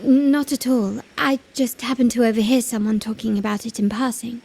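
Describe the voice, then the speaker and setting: A woman's voice: on the high side, steady tone, normal speed, gravelly, dark tone, husky. A woman playing a composed, cunning young noblewoman in a video game, speaking elegantly and formally with a veiled edge. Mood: careful politeness. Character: poised, cunning young noblewoman loyal to her family. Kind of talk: scripted video game acting.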